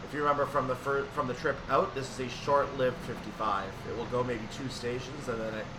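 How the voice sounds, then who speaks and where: A man with a mid-pitched voice narrates a game in a casual, explanatory way. He thinks aloud as he plays. A clear layer of ambient noise and rumble sits behind his voice. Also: little pitch movement, fairly quick. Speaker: gaming video creator narrating train simulator play. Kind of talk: casual gameplay commentary.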